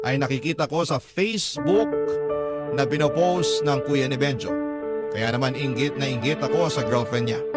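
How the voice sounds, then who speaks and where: A man's voice: mid-range voice, close to an everyday voice. A man voicing a jealous, aggrieved letter sender who confides a secret crush in dramatic first-person radio drama narration. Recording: loud music under the voice.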